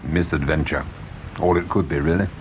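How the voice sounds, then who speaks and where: An actor playing a courteous, probing English police inspector in a radio mystery drama, measured and dryly insistent. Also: male voice, fairly even intonation. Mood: wry, relaxed. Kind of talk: British radio drama acting. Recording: dull radio recording with low rumble noise.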